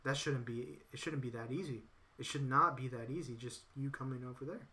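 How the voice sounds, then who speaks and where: Guy rambling on, lots of you knows, bright forward tone. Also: mid-range voice, a little fast. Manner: rambling, repeats himself, many "you know"s; acts out others in quoted voices. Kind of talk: casual political chat.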